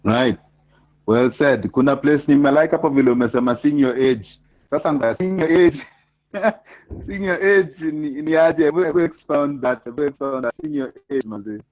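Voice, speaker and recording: Slightly high Kenyan man on a tinny line. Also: moderate pace, no room sound. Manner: lively, directs participants and reads out questions. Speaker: host. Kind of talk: hosting a video call interview. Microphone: video call. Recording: tinny phone-like, dry call audio.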